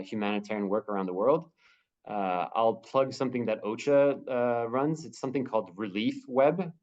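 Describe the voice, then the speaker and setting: A man speaking as a humanitarian spokesperson on a video call, answering questions in a halting way, with many fillers and restarts. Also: mid-range voice, darkish tone, dull audio.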